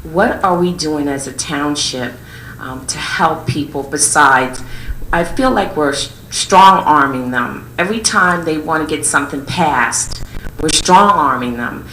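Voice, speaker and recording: Low-voiced woman pressing council questions. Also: measured pace, bright, forceful tone, roomy sound, slight background noise, rough recording. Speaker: resident speaking at public comment. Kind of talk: public comment at a council meeting. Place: township meeting hall.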